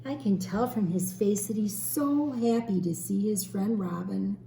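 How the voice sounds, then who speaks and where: A woman teacher reading a storybook aloud expressively to young children, pausing to explain and ask questions. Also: medium pitch, gravelly. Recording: roomy echo, faint background noise.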